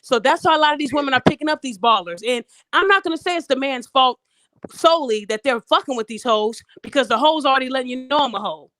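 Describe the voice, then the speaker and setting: A woman getting over a cold talks fast and bluntly, pressing her points with emphatic, profane opinions. Her voice sits high and quick, recorded dry and close with no room around it. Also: expressive, a bit bright, dry recording. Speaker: podcast co-host. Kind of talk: opinionated live podcast talk.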